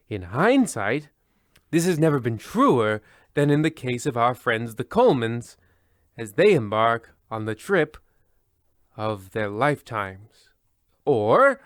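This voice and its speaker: A man's voice: mid-range voice, dry recording, close to an everyday voice. Man acting a pompous horror-show host, very dry sound. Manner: pompous, petulant, florid. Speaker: narrator and host. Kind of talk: mock old-time radio horror narration.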